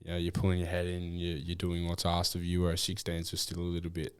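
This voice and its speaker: Young australian man, low voice, monotone, rambling with fillers. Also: quick pace, smooth, no room sound. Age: young adult. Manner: relaxed, rambling with fillers and restarts. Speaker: draft prospect guest. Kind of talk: casual interview.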